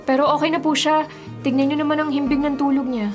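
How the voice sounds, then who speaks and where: Radio drama: actress as unsympathetic young Filipino daughter, impatient, higher voice, light music bed. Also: female voice, flat delivery, quick pace, lightly acted. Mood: businesslike.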